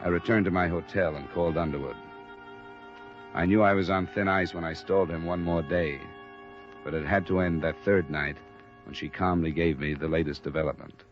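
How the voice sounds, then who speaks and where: A man's voice: sits low, fairly even intonation, moderate pace, lightly acted. A man acting a tough but fair insurance investigator and narrator in a 1950s radio detective drama, terse and matter-of-fact with an American accent. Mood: reflective narration. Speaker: lead actor and narrator. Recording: dull restored old radio recording, music.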